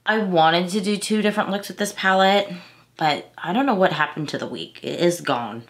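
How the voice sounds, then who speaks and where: A woman's voice: on the low side, moderate pace, fairly bright tone. A woman in her thirties from Texas with a slightly nasal, stuffy voice, chatting casually through a makeup tutorial and correcting herself as she goes. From Houston, Texas. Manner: chatty, rambling, self-correcting. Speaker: beauty vlogger. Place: small room at home, indoors.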